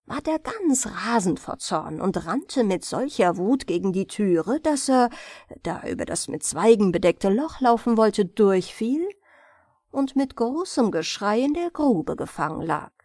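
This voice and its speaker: A woman reading fairy tales as an expressive bedtime audiobook narrator. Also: on the high side, dry recording, studio quality.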